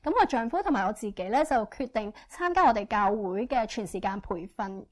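A woman interprets a church testimony sentence by sentence, speaking quickly and fluently with frequent fillers. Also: mid-range voice. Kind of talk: consecutive interpretation.

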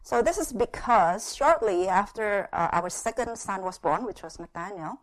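Middle-aged woman, from Indonesia, church testimony, short phrases, frequent pauses, filler words, medium pitch. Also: lively intonation, rough recording. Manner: unhurried, pauses in short phrases, frequent "uh" and "yeah", light laughs. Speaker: guest speaker sharing her testimony. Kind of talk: church testimony with consecutive interpretation.

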